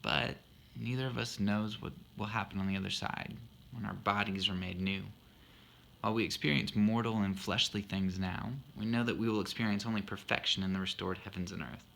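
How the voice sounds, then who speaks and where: A man's voice: lowish voice, steady tone, fairly quick, creaky, a bit bright, a little hiss or hum. A man in his thirties explaining a poem he wrote for his wife, earnest and thoughtful with a self-deprecating humor. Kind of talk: reflective spoken monologue.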